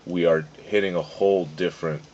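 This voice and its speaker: Deep-voiced laid-back young man. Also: fairly even intonation, a little fast, a little mellow. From Tampa Bay, Florida. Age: young adult. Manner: laid-back, laughs often. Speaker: podcast guest. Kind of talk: casual interview chat. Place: outdoor covered porch at a farm nursery. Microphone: phone recording on the table. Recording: slightly dull lo-fi phone audio.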